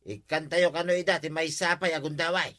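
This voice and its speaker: A man's voice: fairly high pitch, steady tone, a little fast, pushed and bright. A man with an Ilocano accent acting a brash, mischievous village schemer in a comedy drama. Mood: defiant.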